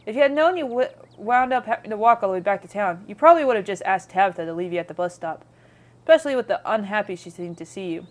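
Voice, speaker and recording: A woman's voice: medium pitch, animated, fast. A woman streamer reading game narration aloud with playful, chatty casual commentary.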